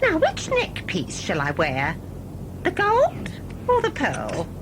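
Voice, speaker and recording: A woman's voice: high-pitched, huge pitch swings, slow pace, noisy background, full character voice. Woman acting prim, swooping, genteel older wife. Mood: fluttery, alarmed. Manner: prim, fussing. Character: Sybil, genteel, chiding, fluttery older wife. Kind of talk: comic audio drama acting.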